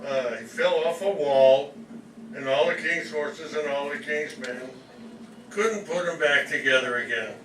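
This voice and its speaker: A man's voice: fairly high pitch, a little slow, fairly bright tone. A man playing the eager, placating grown son of an immigrant mother in a comic radio play. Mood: patient, explaining. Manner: explaining, placating. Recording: distant roomy mic, faint noise.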